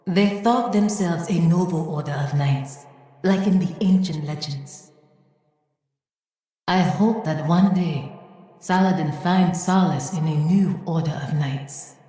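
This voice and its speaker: A wise, gentle woman for a video game, speaking in a low, dark tone. She reminisces slowly and earnestly, her voice washed in long, heavy reverb. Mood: tender, reflective. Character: wise, gentle woman storyteller, a former healer. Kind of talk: reflective video game monologue.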